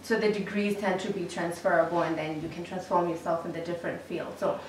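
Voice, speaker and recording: Woman, interviewer, measured questions, lowish voice, distant mic, very echoey room. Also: level tone, darkish tone, slight background noise. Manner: measured, encouraging listener with frequent mm-hmms. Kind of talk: interview hosting.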